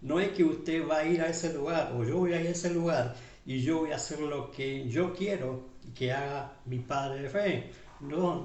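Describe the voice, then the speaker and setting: Argentine pastor preaching in an echoey hall. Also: male voice, a little high, a little mellow. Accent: Argentine Spanish. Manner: repeats tag questions, list-like phrasing, addresses listeners as beloved. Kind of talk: sermon. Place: church hall. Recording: echoey church room from far off.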